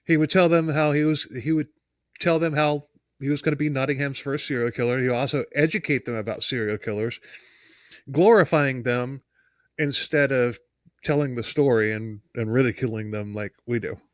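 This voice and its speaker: American man, podcast co-host, rambling and emphatic, mid-pitched, close and dry sound. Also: moderate pace, dry recording. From United States. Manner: rambling, self-interrupting, emphatic. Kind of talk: casual podcast chat and true-crime storytelling.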